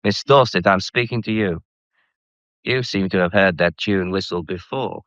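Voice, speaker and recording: An actor in a radio drama playing a smug, taunting man who relishes his hold over others, speaking in a theatrical, drawn-out, mocking way. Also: deep voice, fairly bright tone, dry recording. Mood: smug, commanding. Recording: slightly dull, dry studio sound.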